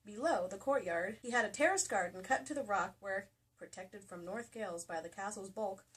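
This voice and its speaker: A woman's voice: medium pitch, fairly quick, slightly rough. A woman giving a comedic dramatic reading of a novel, jokey and prone to breaking off into casual asides. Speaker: co-host reading a romance novel aloud. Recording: faint background noise, amateur home video.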